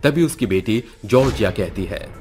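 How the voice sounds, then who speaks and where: A man narrating a horror cartoon story in a steady, dramatic way. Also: on the low side, moderate pace.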